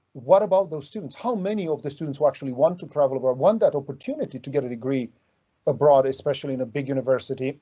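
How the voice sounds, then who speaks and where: A man's voice: mid-range voice, mellow, muffled recording. A man from Romania hosting an interview over a video call, talking reflectively with many ums. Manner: reflective, with frequent ums and hedges. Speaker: interview host. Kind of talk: conversational interviewing.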